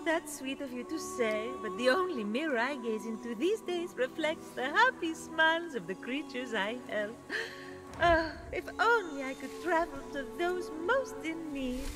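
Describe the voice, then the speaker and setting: A woman's voice: sits high, very animated, unhurried. A woman voicing a sweet, reformed goddess trapped as a tree in a video game, gracious and theatrical, with saintly sincerity. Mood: sweet, humble. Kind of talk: video game character acting. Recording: music behind the dialogue.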